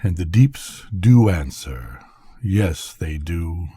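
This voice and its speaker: A man's voice: deep voice, animated, takes it slowly, dark tone, husky, dry recording, pitched below the performer's own voice, heavily acted. A man voicing a musing, folksy, lonely lighthouse keeper in a bedtime storybook reading. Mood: lonely, mysterious. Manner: thoughtful, folksy.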